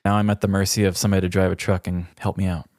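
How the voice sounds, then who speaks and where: Male podcast co-host, lower-pitched, wry and self-deprecating, casual comedic storytelling, close dry recording. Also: flat delivery, racing, a little mellow, dry recording.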